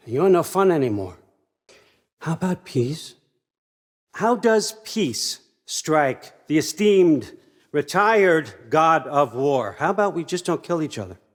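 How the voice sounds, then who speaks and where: Slow-talking, scheming old god. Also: male voice, fairly high pitch, breathy edge, full character voice. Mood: cold reproach, then sly bargaining. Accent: American. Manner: wry, conversational, manipulative, profane. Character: scheming, controlling old father god, falsely folksy. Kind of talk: video game character acting.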